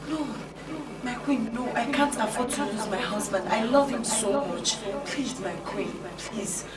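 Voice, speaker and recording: Pleading young wife, played by a woman, fairly high voice, distant, loud music behind. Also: unhurried, a loud crowd, natural voice. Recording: echoey room from far off, loud music.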